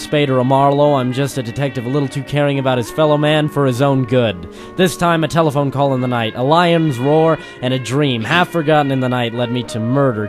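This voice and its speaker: A man's voice: medium pitch, lightly acted. A man playing a world-weary, wisecracking private detective in an old-time radio drama style, with theatrical noir delivery. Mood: brooding narration. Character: world-weary hardboiled male private detective narrator, ex-Marine. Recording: music behind the voice.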